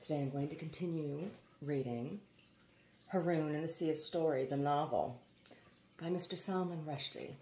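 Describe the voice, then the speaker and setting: A woman reading a novel aloud as expressive storybook narration. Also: sits low, moderate pace, a little hiss or hum. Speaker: audiobook reader.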